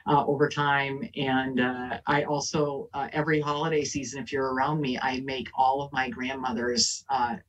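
A woman panelist talks on a video call, earnest and searching for words, with plenty of fillers and restarts. Her voice is a little husky and sounds set back from the mic, with distant call audio. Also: a bass voice. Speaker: panelist, elected official. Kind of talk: casual panel talk.

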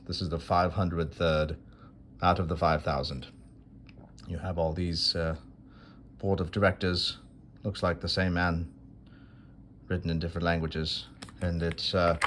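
A man with a very low voice narrates from behind the camera as he opens a coin box on a marble table. He is unhurried and dryly self-deprecating, pausing often as he talks. A faint room sound sits under his voice. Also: a little hiss or hum. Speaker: coin video host. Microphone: phone camera held over a table.

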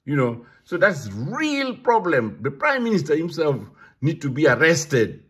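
A man's voice: fairly high pitch, very animated, a little slow, a bit bright, breathy edge. A man with a Ugandan accent speaking in a casual monologue, emphatic and given to repeating himself. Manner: repetitive, emphatic. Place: London.

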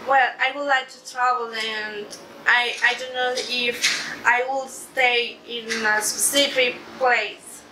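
A young woman from Colombia with a Colombian Spanish accent, a native Spanish speaker, answering interview questions in halting English with soft laughs, recorded by a camera across a living room. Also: fairly high pitch, steady tone. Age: young adult. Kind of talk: casual interview in a second language. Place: living room, sitting on a couch. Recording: echoey room, distant mic, background noise.

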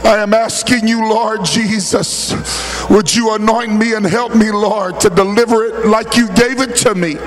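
A man preaching a Pentecostal sermon in a church, speaking in short phrases for an interpreter and rising to shouts. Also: sits high, fairly even intonation, slow pace.

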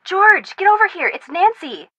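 A woman's voice: very high-pitched, big pitch swings, normal speed, lo-fi sound, slight background noise. An American woman playing a bubbly, gossipy, boy-crazy young friend in a video game, chatty, eager and playful. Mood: eager, curious.

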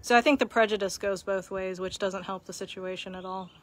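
A woman's voice: medium pitch, steady tone, brisk. Young woman chatting into her phone outdoors. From Okinawa, Japan. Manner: thoughtful, talks with frequent fillers and laughs. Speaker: vlog host. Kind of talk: casual live-stream chat. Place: outdoors under a concrete shelter in a grassy park. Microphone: phone held at arm's length.

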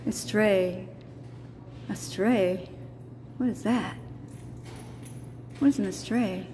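A woman's voice: medium pitch, extremely slow. A middle-aged woman filming herself on a phone indoors, chatting and asking curious, teasing questions. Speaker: vlogger filming a public building. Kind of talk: casual self-filmed narration. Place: indoor courthouse hallways. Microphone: handheld phone. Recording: echoey hallway, loud background noise.

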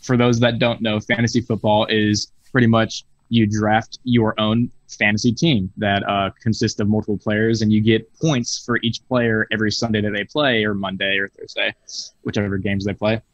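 A man's voice: fairly low pitch, little pitch movement, normal speed, dry recording. A late-teen man, a podcast guest on a video call, talking casually with swearing and stumbling restarts. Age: teens. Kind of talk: casual chat. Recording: dull lo-fi video call audio.

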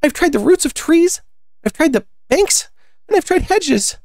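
A woman's voice: high-pitched, expressive, unhurried, a little mellow, no room sound, studio quality, pitched above the performer's own voice. A woman voicing a frantic, scolding mother pigeon from a storybook, with desperate, shrieking complaints. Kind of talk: storybook character voice.

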